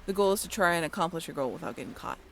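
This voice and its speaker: A woman's voice: fairly low pitch, animated, very fast, vocal fry, fairly bright tone, a little hiss or hum. A woman playing a friendly, easygoing ship's crew member, chatting informally in a roleplay audio drama. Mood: friendly, amused.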